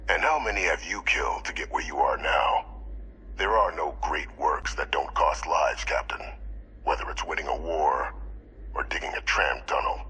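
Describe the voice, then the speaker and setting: An actor playing a smooth, scheming older male villain in a video game, speaking formally and persuasively with breathy phrase endings. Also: extremely low pitch, normal speed, pushed and bright, slight background noise. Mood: defiant, coolly justifying. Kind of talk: video game character dialogue.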